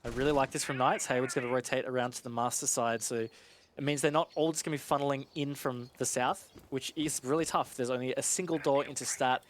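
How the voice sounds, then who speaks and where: A man with a medium-pitched voice analyses a live esports match. He explains the action conversationally. Clear game noise, clicks and gunfire sit behind his voice. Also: steady tone, fast talker. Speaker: esports commentator.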